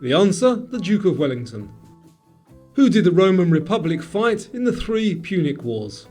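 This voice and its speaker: A man with a high voice presents a scripted quiz over background music. His intonation swings widely as he addresses the player, and each phrase is clearly enunciated in a presenter's style. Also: very animated, normal speed, dark tone. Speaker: quiz show host.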